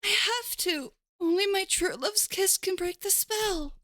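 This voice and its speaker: A woman voicing a young princess under a curse, speaking softly and earnestly. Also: extremely high pitch, measured pace, no echo, studio quality, heavily acted. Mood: sorrowful. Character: young princess cursed to turn ogre by night.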